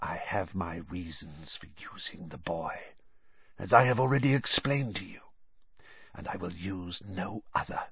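A man reading an audiobook and voicing a sickly, cold, menacing dark lord who talks in a hissing whisper. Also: mid-range voice, very animated, unhurried, husky, lo-fi sound, pitched above the performer's own voice, exaggerated voice.

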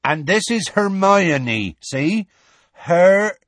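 Loud, husky, fondly scolding giant of a man. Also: sits high, animated, drawn-out words, no echo, voice pushed up, full character voice. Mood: coaxing, presenting. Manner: shouting, coaxing, rustic colloquial speech.

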